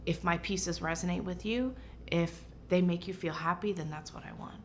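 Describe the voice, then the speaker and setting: A woman's voice: fairly low pitch, fairly even intonation, moderate pace, slight background noise. A woman who grew up in Portugal, speaking earnestly and thoughtfully as a brand founder and designer in an interview. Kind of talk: reflective interview answers.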